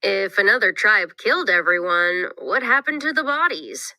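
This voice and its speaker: A woman's voice: medium pitch, lively intonation, moderate pace, bright, forceful tone, dry recording, voice pushed down, poor audio. A woman voicing a skeptical, inquisitive young boy in a scripted read-aloud for children, questioning everything with emphatic doubt.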